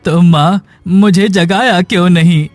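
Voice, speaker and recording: A man plays a poor, sick hunchback in a cartoon tale. His high voice drags slowly with plaintive despair. The delivery is melodramatic, with faint music underneath. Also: steady tone, no room sound. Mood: hurt, angry. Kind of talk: dramatic Hindi cartoon story dialogue.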